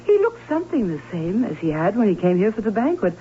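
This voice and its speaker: An actress playing a distraught Baron's sister in a radio drama, telling of a haunting in earnest, formal, dramatic tones. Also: female voice, mid-range voice, very animated, quick pace, warm tone, natural voice. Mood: insistent. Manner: earnest, dramatic, formal old-fashioned storytelling. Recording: tinny narrow-band old radio with hiss and hum.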